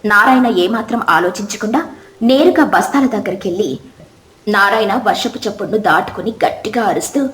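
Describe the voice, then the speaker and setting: A woman's voice: medium pitch, lively intonation, normal speed, a bit bright, roomy sound, a little hiss or hum. A woman reading scripted, dramatic moral-story narration.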